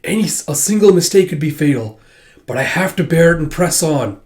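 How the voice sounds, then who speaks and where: A man with a stuffy cold reading the lines of a young female officer in a tense, commanding way, raising his pitch and volume. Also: lively intonation, brisk, a little mellow, natural voice. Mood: determined. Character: young female officer, determined under fire.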